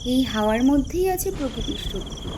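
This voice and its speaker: A woman's voice: high voice, expressive, a little fast, very noisy. A woman playing a dignified middle-aged mother, lady of a landed house, with theatrical, stately delivery in a horror audio drama. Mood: tender, calm. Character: dignified landowner's lady, protective mother, commanding.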